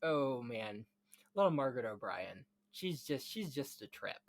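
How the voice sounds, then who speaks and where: A man hosting a film podcast, talking in an animated, emphatic and chatty way. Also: medium pitch, measured pace. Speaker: podcast host. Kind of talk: theatrical scripted intro, then casual film chat.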